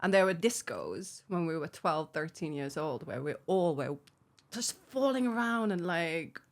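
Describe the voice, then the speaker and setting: A woman's voice: on the low side, lively intonation, a little slow. A middle-aged woman from Finland with a Finnish accent, talking frankly about her recovery as a podcast guest and laughing often between phrases. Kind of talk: candid personal recovery story.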